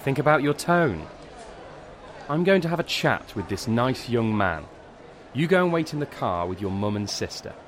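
Guy acting a stern middle-aged dad, firm but fake nice, lively pitch, some background noise. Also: moderate pace, close to an everyday voice. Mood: controlled, warning. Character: controlled, image-conscious stern father. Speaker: supporting actor. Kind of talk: audio drama dialogue.

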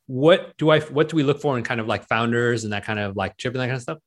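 A man's voice: mid-range voice, quick pace. A man in his late thirties from Penang, a guest investor talking casually and loosely, with lots of likes and rights. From Penang, Malaysia. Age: 30s. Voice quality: relaxed, filler-heavy talk with many likes and rights. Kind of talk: casual interview chat.

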